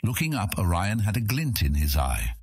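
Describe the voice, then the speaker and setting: A man's voice: fairly low pitch, big pitch swings, moderate pace, husky, no echo. A man narrating a scripted fantasy audiobook, recorded close to the microphone.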